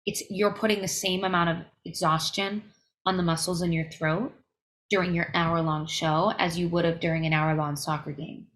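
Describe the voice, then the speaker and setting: A woman's voice: fairly low pitch, little pitch movement, normal speed, a bit bright. A young woman, a touring singer, giving earnest, emphatic advice in a casual podcast interview, with fillers along the way. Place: home recording, podcast interview.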